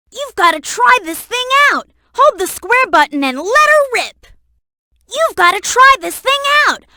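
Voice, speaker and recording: An eager young fox boy from a cartoon video game. The voice is extremely high and lively, with an earnest, encouraging manner. It is a clean, dry studio sound, made for helpful hints and cheers. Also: dry recording, full character voice. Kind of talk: video game character voice lines and tutorial hints.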